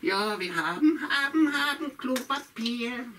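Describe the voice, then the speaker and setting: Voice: high voice, lively intonation, slow pace, bright, forceful tone, a little hiss or hum, full character voice. A puppeteer voicing a sly old witch who chats to herself and hums tunes in a puppet show. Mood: muttering. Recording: voice from a distance.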